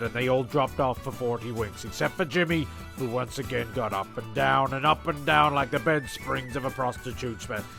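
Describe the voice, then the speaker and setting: A man's voice: mid-range voice, creaky. A man narrating a comic story in an offhand, stumbling way, often repeating phrases. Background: music.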